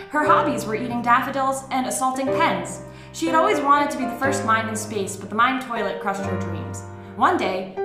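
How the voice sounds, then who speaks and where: A woman co-hosting a podcast with playful, theatrical storytelling and casual banter. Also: a little high. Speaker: podcast co-host. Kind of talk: comic dramatic reading and casual podcast banter. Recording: echoey room with music behind.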